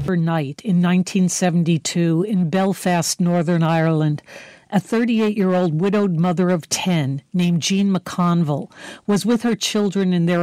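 Low-pitched woman reading a scripted review. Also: a little mellow, no room sound. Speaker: book critic.

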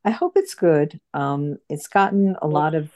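A woman hosting an informal book group discussion, speaking haltingly and often repeating her phrases. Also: sits low, darkish tone.

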